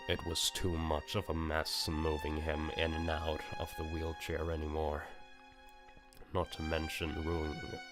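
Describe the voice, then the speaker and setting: A man reading a visual novel aloud as dramatic story narration. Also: extremely low pitch. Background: music.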